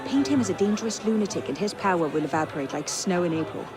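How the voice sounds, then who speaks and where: Woman playing a brisk, shrewd young conspirator. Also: lowish voice, lively intonation, lightly acted. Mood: scheming, assured. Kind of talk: cinematic video game acting. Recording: noisy outdoor crowd behind.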